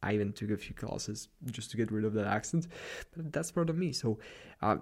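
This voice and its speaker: A man's voice: fairly low pitch, expressive, fairly quick, darkish tone. A man with a Slavic accent hosting a solo podcast, giving a loose, rambling pep talk late at night in his apartment. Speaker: podcast host. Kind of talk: solo motivational podcast monologue.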